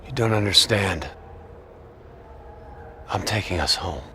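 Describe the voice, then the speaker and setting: An actor playing a deluded, possessed soldier in a cinematic video game. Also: male voice, deep voice, expressive, slow, pushed and bright, a little hiss or hum, exaggerated voice. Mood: haunted conviction. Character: deluded, possessed male soldier promising safety.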